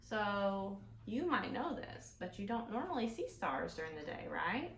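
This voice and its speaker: A woman hosting a children's storytime, reading aloud expressively and pausing to explain things and ask the children questions. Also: medium pitch, very animated, vocal fry, a bit bright. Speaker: children's librarian, storytime host. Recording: roomy echo, some background noise.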